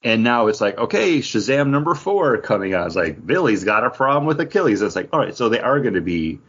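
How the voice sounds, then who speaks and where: A man's voice: medium pitch, sing-song, a little fast, a bit bright, husky. A man hosting a podcast in a casual, conversational way. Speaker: podcast host. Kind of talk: casual chat about comic books. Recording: dull, lo-fi podcast audio.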